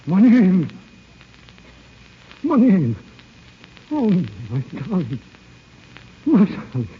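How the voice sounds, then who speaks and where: An actor playing a devoted, passionate bridegroom with urgent, melodramatic old-time radio delivery. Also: male voice, high-pitched, wildly swooping pitch, extremely slow, slightly rough, exaggerated voice. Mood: anguished. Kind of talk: 1940s radio acting. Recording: muffled old radio recording.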